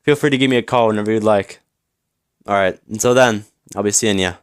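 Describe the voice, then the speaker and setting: A man playing a smug, flirtatious businessman in an audio roleplay, teasing and smooth-talking with mock politeness. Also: on the low side, a little fast, slight background noise, natural voice. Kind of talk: scripted audio roleplay acting.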